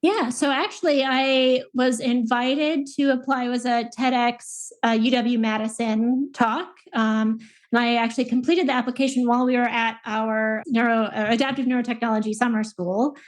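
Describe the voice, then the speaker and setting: A woman in her thirties explains her field in a relaxed interview, pausing on fillers and restarting her phrases. Her voice sits a little higher than most. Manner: thoughtful, with many fillers and restarts. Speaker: guest, speech pathologist and researcher. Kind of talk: conversational interview answers.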